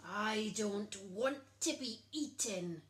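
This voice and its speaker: A woman voicing a stubborn, angry talking jelly from a storybook in a defiant, loud manner. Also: mid-range voice, animated, slow, echoey room. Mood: growling.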